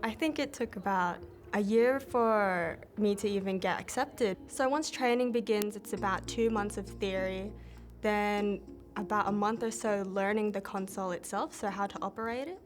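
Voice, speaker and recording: A young Australian woman calmly explains her air traffic controller training in a news interview. Her voice sits at a middle pitch. Faint music plays underneath. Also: normal speed. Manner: matter-of-fact, explanatory. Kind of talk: interview answers.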